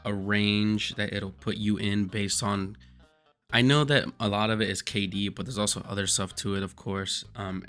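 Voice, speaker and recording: A man with a hoarse voice from screaming, giving rambling, profane, jokey gaming commentary as a streamer. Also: lowish voice, fairly bright tone.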